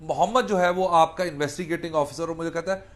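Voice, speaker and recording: A man's voice: on the high side, fairly even intonation, fast, a little hiss or hum. A Pakistani man speaking as a law lecturer, explaining animatedly in mixed Urdu and English and repeating key phrases. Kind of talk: spoken lecture mixing Urdu and English legal terms.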